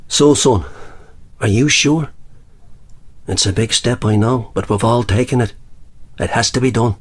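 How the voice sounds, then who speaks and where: An actor playing a solemn, commanding older republican recruiter in a radio play. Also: male voice, mid-range voice, a little slow, slightly rough. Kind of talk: radio drama dialogue.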